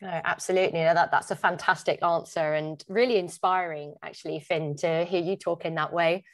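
A woman with a slightly low voice hosts a podcast interview. She speaks conversationally and sounds appreciative and encouraging toward her guest. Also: steady tone, brisk.

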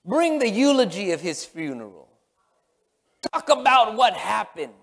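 Middle-aged male pastor, high-pitched voice, emphatic repetitive sermon delivery, long sanctuary reverb. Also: sing-song, a little slow, gravelly. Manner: emphatic, repetitive, swinging from loud exclamations to hushed murmurs. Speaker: pastor preaching. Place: large church sanctuary, on stage.

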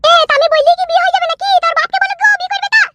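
Shrill, scolding cartoon mother. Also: fairly even intonation, bright, forceful tone. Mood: irritated. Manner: nagging, rapid-fire scolding. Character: scolding middle-aged village mother, sharp-tongued and exasperated. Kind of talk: animated comedy cartoon dialogue.

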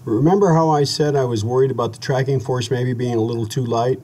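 A man casually narrating a hands-on demonstration as host, folksy and unhurried, thinking aloud as he goes. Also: mid-range voice, mellow, soft background music. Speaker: video host and radio restorer.

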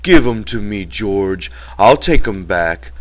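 A man voicing a big, gentle, simple-minded man in a storybook reading, with childlike, pleading phrasing. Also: on the low side, animated, slow.

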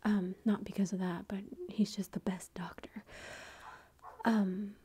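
A woman's voice: medium pitch, flat delivery, measured pace. A woman whispering through a long personal story, with many fillers and quoted lines of dialogue. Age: adult with a son. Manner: whispering, soft and breathy. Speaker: vlogger.

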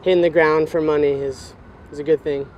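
A man's voice: fairly high pitch, little pitch movement, fairly quick, darkish tone, slight background noise. An eighteen-year-old man who does stunts, answering interview questions earnestly outdoors. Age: teens.

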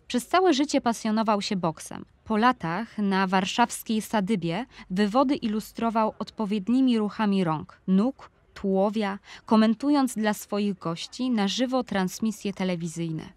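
A woman's voice: medium pitch, dry recording, lightly acted. A woman reading a literary memoir in an audio drama, reminiscing about her hometown and youth.

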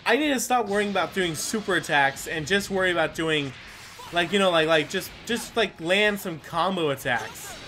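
A man's voice: high-pitched, animated, normal speed, pushed and bright, a little hiss or hum. A man hosting a gameplay video with casual, rambling commentary, full of exclamations and mild swearing. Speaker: gaming video host.

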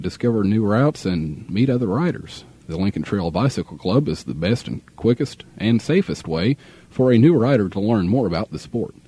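A young American man with a low, mellow voice announces on local radio. His delivery is measured and formal, slightly husky, as heard on an old muffled aircheck tape. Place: radio studio.

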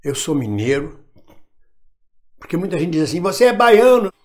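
Emphatic older man, lively pitch. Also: normal speed. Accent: Brazilian Portuguese, national standard. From Minas Gerais, Brazil. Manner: emphatic, proud, defensive, lively. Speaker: actor addressing followers. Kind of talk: direct-to-camera monologue. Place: home room with bookshelf, indoors.

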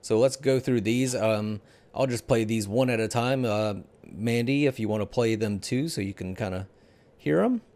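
A man's voice: lowish voice, moderate pace, darkish tone. A middle-aged man hosting a casual video game talk show on a headset mic. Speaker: podcast host. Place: indoor room at home.

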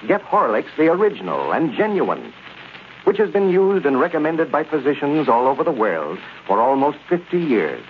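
A man reading scripted copy as an announcer in the style of 1930s radio. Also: mid-range voice. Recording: tinny, noisy 1930s radio recording.

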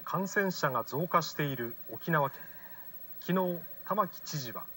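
A man's voice: a little high, lively intonation, unhurried, sharp tone, low-quality recording. A man reading scripted news narration, heard from a television speaker. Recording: TV speaker re-recorded, faint noise.

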